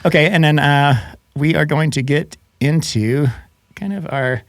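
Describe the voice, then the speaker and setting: A man hosting a casual podcast, a little hoarse from a head cold, chatty and quick to laugh. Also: on the high side, no echo, studio quality. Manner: chatty, laughs often, frequent restarts. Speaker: podcast host.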